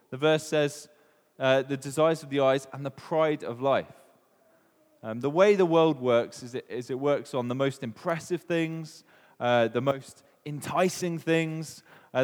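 A man with a Welsh accent giving an informal sermon, talking casually and putting questions to the congregation. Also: medium pitch. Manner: chatty, asks the room questions, many ums. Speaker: preacher. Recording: long reverb of a hall.

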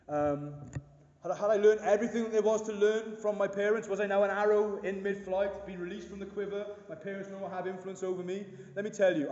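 A man in his thirties preaching with a big, booming voice that sits high yet sounds warm and mellow. He drives his points home earnestly, asking the room question after question. The sound is dull and muffled, with a long reverb trailing off the walls of a church hall. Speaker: pastor. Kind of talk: sermon. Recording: dull echoey hall recording.

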